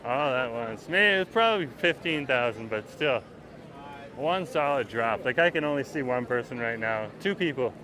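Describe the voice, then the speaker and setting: A man chats his way through a packed outdoor festival, rambling and easygoing as he streams from his phone while walking. Clear festival crowd chatter fills the air around him. His voice sits at an ordinary middle pitch for a man. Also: lively intonation, moderate pace. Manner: rambling, chatty, reads viewer comments aloud. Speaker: livestream vlogger. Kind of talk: casual walking-tour commentary. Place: outdoors at a busy waterfront festival. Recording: loud crowd noise outdoors.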